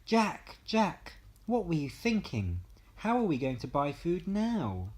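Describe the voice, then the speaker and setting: A man's voice: a little high, wildly swooping pitch, slow pace, darkish tone, voice pushed up, full character voice. A man voicing a horrified, exasperated mother scolding her foolish son in a bedtime story. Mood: fuming. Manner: exasperated, reproachful.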